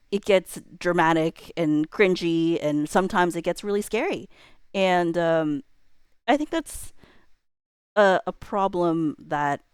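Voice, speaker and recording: A woman in her thirties talks in a low register, recorded dry with hardly any room sound. She chats reflectively like a streamer addressing viewers. Her delivery rambles, with hedged phrases and thoughts left trailing. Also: dry recording. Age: millennial, around 30s. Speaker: livestreamer. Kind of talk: casual reflective chat to viewers.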